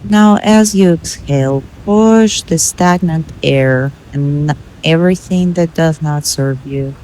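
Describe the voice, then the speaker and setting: A woman leading a guided meditation in measured, instructional short phrases with pauses between them. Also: low-pitched, a little mellow, a little hiss or hum, poor audio.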